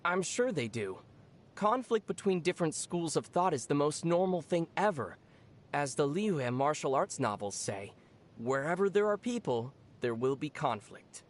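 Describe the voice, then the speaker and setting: An actor voices a young traveler from an anime video game. The delivery is calm and measured, knowledgeable and matter-of-fact. There is a wry, gently teasing edge to it. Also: medium pitch, lightly acted.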